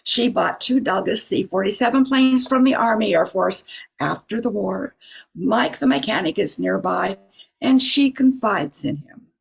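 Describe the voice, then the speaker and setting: An older woman narrating a scripted radio play in a deliberate storytelling way. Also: medium pitch, animated, creaky, slightly rough, low-quality recording.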